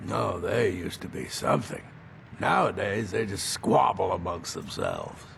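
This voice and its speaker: A heavily gravelled, low-voiced old blacksmith from a fantasy game. He is a proud, weary Nord with a gruff way of talking. Faint noise sits behind him. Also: sing-song, measured pace, a bit bright, full character voice. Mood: weary, dismissive. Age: older. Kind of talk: video game character dialogue.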